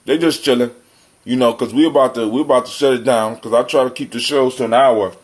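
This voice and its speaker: A man's voice: mid-range voice, fairly even intonation, fast. Male host, American, mid-range voice, folksy and informal, casual live call-in chat. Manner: folksy, addresses listeners as family. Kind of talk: casual live community talk.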